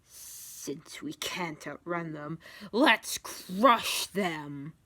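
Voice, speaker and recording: A woman voicing a brooding, tough male cartoon hedgehog in a gruff, grim manner. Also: low voice, lively intonation, very slow, pushed and bright, exaggerated voice.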